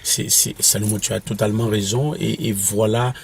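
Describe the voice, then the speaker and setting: A minister from Quebec, whose first language is English, answers interview questions in a halting way, with many restarts and fillers. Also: male voice, on the low side, fairly even intonation, vocal fry, slightly rough. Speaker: apostolic minister and author.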